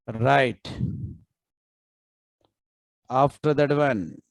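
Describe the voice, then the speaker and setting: Slow, coaxing coding teacher. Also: male voice, mid-range voice, dry recording. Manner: coaxing, repeats prompts to students. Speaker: programming instructor. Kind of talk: live online coding class.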